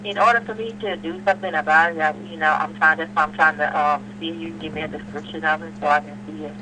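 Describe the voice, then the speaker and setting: A woman's voice: deep voice, steady tone, fast talker. Firm woman on a noisy phone line. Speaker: store employee taking a customer complaint. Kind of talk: customer service phone call. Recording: noisy telephone line with hum.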